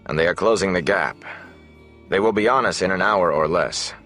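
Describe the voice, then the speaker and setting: A man's voice: deep voice, normal speed, a bit bright, soft background music. A man voicing a stern warrior scout, terse and grimly controlled, in a fantasy audiobook. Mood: grim reporting. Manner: terse, matter-of-fact, commanding.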